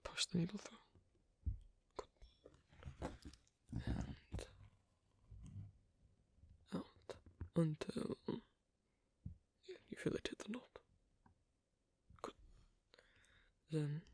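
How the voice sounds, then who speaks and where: An asocial werewolf, as a performer plays it for an ASMR roleplay, murmuring in a husky, crackly half-whisper. The speech is slow, halting and clipped, with non-native English phrasing. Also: medium pitch, dry recording, exaggerated voice. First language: not English. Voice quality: breathy, husky. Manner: halting, terse, quiet instructions with clipped grammar. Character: asocial, awkward werewolf teaching sewing on a date.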